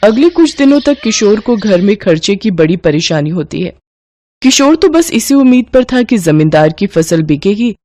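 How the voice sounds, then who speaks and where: A woman's voice: lowish voice, mellow, no echo. A woman reading scripted narration for a moral bedtime story.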